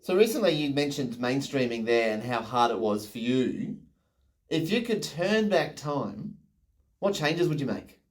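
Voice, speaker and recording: A man's voice: medium pitch, big pitch swings, a little slow. An enthusiastic man interviewing a guest over a video call, full of effusive praise.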